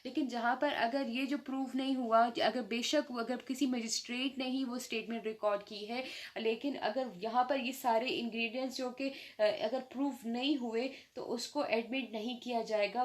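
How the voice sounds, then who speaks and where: A woman with a Pakistani accent giving an explanatory law lesson, mixing in English terms and often pausing to rephrase. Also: on the high side. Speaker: law lecturer.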